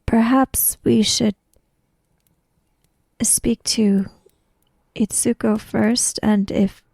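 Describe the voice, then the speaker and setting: A woman playing a hesitant, polite young woman who speaks to spirits, in halting, soft, formal speech for a tabletop roleplay. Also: on the high side, steady tone, gravelly, dry recording, heavily acted. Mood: hesitant, earnest.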